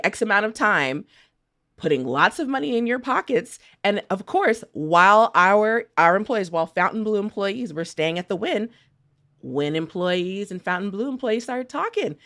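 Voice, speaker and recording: A woman's voice: mid-range voice, lively intonation, normal speed, fairly bright tone. A woman podcast contributor chatting casually in slang, theatrically acting out what others said. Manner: theatrical, acts out paraphrased quotes. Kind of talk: casual, slangy storytelling chat.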